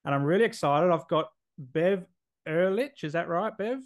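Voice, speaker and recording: Gravelly Australian man hosting a podcast. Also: a little high, expressive, moderate pace. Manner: friendly, chatty, asks questions with fillers. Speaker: podcast host. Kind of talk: casual podcast interview.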